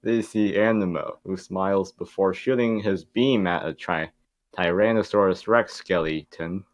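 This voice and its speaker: Low-voiced man narrating a script, dry sound. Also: a little slow, no echo. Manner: informal, stumbles over the script. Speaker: narrator of a table read. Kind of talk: reading script stage directions aloud.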